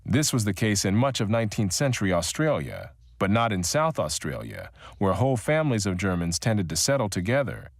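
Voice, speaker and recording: A man reading a history audiobook in a measured, even-toned narration. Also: lowish voice, no echo, clean studio sound.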